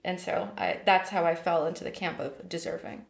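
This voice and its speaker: Woman, 30s, poet; low, gravelly voice, reflective and halting, roomy sound. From United States. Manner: thoughtful, hesitant, with many restarts. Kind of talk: reflective personal interview.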